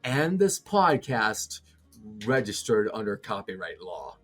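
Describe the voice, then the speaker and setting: A man's voice: on the low side, very animated, takes it slowly, fairly bright tone, soft background music. Young man hosting a casual podcast. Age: young adult. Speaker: podcast host.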